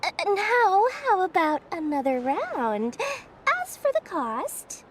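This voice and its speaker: Very high-pitched greedy little merchant girl. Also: big pitch swings, slow pace, slight background noise, heavily acted. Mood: salesy. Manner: theatrical, salesy. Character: scheming, greedy little female merchant running a prize stall. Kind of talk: video game voice acting. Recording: music behind the voice.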